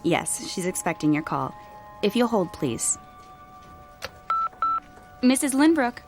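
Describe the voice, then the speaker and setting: A woman's voice: mid-range voice, sing-song, takes it slowly, music in the background. An actress playing a polite, long-suffering woman secretary with a courteous, professional manner, in a radio drama. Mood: polite, patient.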